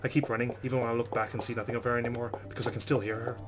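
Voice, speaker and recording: A man's voice: medium pitch, flat delivery, racing. A man hosting a let's play, reading visual novel narration dramatically and reacting with exclamations.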